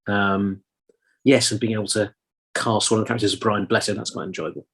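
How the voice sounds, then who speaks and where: Fast-talking husky man. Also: lowish voice, flat delivery, fairly bright tone. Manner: rambling, many restarts and fillers. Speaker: actor and audiobook reader. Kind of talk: casual interview talk.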